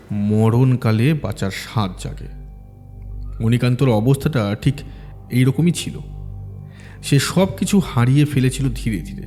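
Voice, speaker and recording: Male horror audiobook narrator: dark, soft-edged tone, mid pitch, measured dramatic pacing, long reverb over music. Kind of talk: scripted ghost story narration.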